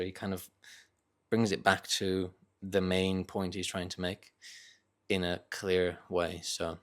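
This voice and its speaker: A man with a low voice speaks in a Northern English accent tinged with Dutch. He talks off the cuff, as in a casual solo vlog. His phrasing is reflective and hesitant, with frequent small corrections as he finds his words. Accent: Northern English with slight Dutch. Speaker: vlogger sharing reading thoughts. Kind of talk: casual unscripted monologue.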